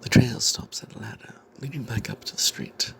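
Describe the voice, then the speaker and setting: A man tells a tabletop fantasy adventure as an in-character narrator, in a breathy, hushed, secretive ASMR whisper with dramatic pauses. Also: medium pitch, fairly even intonation, slight background noise. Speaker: narrator telling the tale in character as a cleric.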